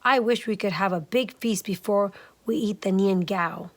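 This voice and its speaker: A woman's voice: medium pitch, normal speed, close to an everyday voice. A woman voicing a hungry middle boy in a storybook, whiny and pleading.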